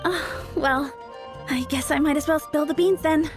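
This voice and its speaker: A woman's voice: high-pitched, steady tone, normal speed, music in the background, lightly acted. A woman voicing a dreamy, flustered, lovestruck young flower shop girl in a video game. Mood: confessing, torn.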